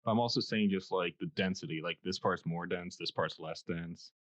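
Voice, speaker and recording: A man with a very deep voice chats casually as a podcast host, easygoing and quick with a joke, loose with his "likes." He sits close to a studio dynamic mic. The sound is dull, dry and a little lo-fi. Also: a bit bright, dry recording. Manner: laid-back, joking, filler-heavy. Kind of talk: casual interview chat.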